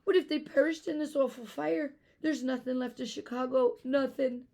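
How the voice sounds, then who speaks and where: A woman acts out a frightened little sister from a children's storybook. The voice leaps high and shrill, pleading and tearful, on the edge of hysteria. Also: steady tone, moderate pace, gravelly, a little mellow. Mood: panicked, tearful. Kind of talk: children's storybook read aloud.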